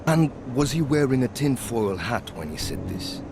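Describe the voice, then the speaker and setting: A man playing a hard-bitten, world-weary boss in a video game, speaking in a measured, sardonic way. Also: mid-range voice, very animated, background noise, lightly acted. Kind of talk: video game cutscene storytelling.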